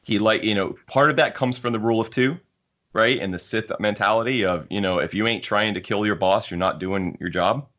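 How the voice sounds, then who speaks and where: A low-pitched male co-host works through his points in a casual group discussion. He is analytical and discursive, explaining at length. The audio is dull and a little muffled. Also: fairly quick, fairly bright tone. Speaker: podcast co-host.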